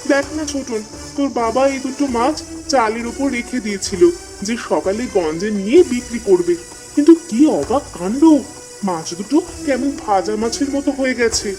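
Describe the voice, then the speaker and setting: Woman playing a mellow, highish village mother. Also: lively intonation, normal speed, soft background music, lightly acted, rough recording. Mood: amazed. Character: astonished village wife and mother. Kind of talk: cartoon voice acting.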